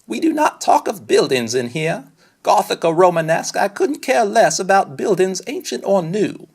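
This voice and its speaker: A stern storybook schoolmistress acted out by a man, pitched high and swooping dramatically. She scolds with theatrical emphasis, in a slightly husky voice. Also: sing-song, normal speed, darkish tone, heavily acted. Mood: stern, dismissive. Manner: emphatic, melodramatic. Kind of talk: storybook read-aloud character.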